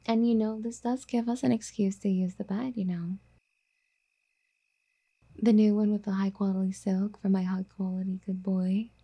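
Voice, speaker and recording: Casting: female performer as obsessive, doting yandere girlfriend for ASMR audio drama. Very soft, mellow, breathy delivery, affectionate and coaxing with a possessive streak. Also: medium pitch, fairly even intonation, normal speed. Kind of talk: soft intimate ASMR roleplay.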